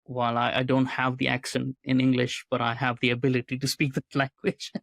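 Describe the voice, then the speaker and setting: A man's voice: medium pitch, monotone, brisk, creaky, a bit bright, no echo. A man being interviewed on a podcast, talking earnestly and often checking in with a questioning "right?". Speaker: podcast guest, writer and commentator.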